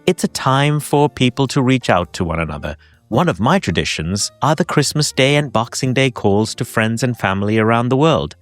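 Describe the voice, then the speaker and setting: A man's voice: medium pitch, lively intonation, moderate pace, soft background music. A man narrating a podcast episode, telling personal holiday stories in a reflective way. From Hong Kong. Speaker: podcast host. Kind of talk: scripted narration.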